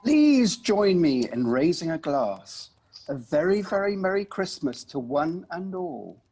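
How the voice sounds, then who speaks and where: An unforgiving family father acted by a man holds forth in a radio play. His voice is husky and his delivery is emphatic and declamatory. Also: on the high side, very animated, a little slow, darkish tone, exaggerated voice. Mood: hearty. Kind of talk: amateur radio play acting.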